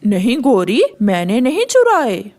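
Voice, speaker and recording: A woman puts on a put-upon male pigeon for a kids' cartoon. The pitch drops well below the other birds, and the delivery is grumbling and sarcastic. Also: wildly swooping pitch, unhurried, a little mellow, exaggerated voice. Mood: weary, exasperated. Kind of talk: children's cartoon story acting.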